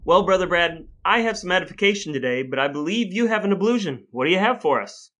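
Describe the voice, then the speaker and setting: Higher-voiced American man, wry podcast host. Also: lively intonation, fast, a bit bright. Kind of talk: scripted dramatic reading and podcast chat.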